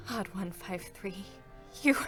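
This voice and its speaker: A woman playing an anguished, guarded female combat android in a game story reading, with a halting, emotionally raw dramatic delivery. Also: mid-range voice, fairly bright tone. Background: musical accompaniment.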